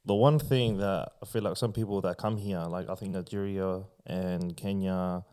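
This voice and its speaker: A man with an Australian accent, chatting in a relaxed, rambling way as a podcast host. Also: low voice, normal speed, clean tone. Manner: relaxed, rambling, uses filler phrases. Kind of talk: casual chat.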